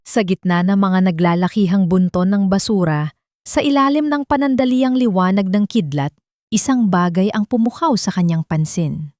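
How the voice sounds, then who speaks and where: Woman narrator doing a dramatic story, mid pitch, super dry close sound. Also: darkish tone, no room sound.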